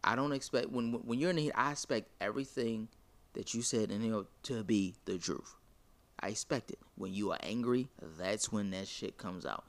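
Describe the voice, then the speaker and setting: A man's voice: fairly low pitch, normal speed. A man arguing his point in a heated casual conversation, blunt and profane.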